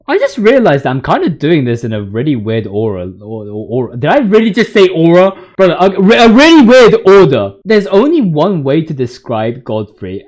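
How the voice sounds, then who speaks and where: High-pitched young man, slangy gaming commentator. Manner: slangy, excitable, hyperbolic rambling. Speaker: video creator, commentator. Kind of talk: informal video game commentary.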